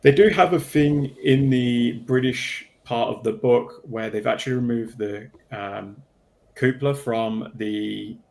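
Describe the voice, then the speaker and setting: A man's voice: medium pitch, a little slow, creaky, a little mellow. A man talking casually in a livestream panel discussion, going into detail in a pedantic way. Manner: detailed, self-confessedly pedantic.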